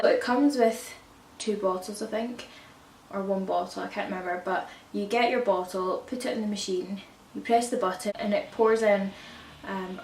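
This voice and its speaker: A woman with a croaky voice from a cold, chatting casually to camera about baby products and often correcting herself. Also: medium pitch. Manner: rambling, self-correcting, chatty. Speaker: parenting vlogger. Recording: echoey room, distant mic, faint hiss.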